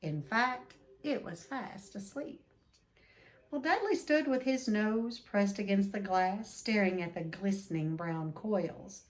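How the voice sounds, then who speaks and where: A woman reading a children's book aloud expressively, with deliberate dramatic emphasis. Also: mid-range voice. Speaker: read-aloud storyteller.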